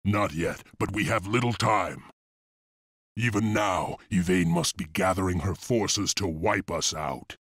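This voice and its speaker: A man's voice: low voice, a little slow, a bit bright, no room sound, clean studio sound, heavily acted. A man voicing a steadfast, commanding rebel leader of a wolf clan in a video game. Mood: grave, urgent. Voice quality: husky. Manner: commanding, resolute. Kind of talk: dramatic video game voice acting.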